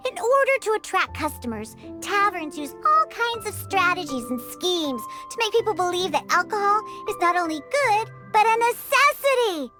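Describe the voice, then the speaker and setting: A woman's voice: big pitch swings, normal speed, few pauses, over music. A woman voicing a feisty young catgirl bartender in a video game, with a childlike voice and an emphatic, indignant manner. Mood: scheming. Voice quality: high, bright, childlike. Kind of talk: animated video game character acting.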